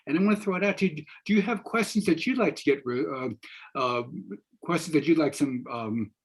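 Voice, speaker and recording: A man's voice: a little high, a little fast, husky. An older man moderating an informal panel on a video call, circling through his phrasing in a tentative, rambling way. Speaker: moderator, professor. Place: small room at home, indoors. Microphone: computer mic on a video call.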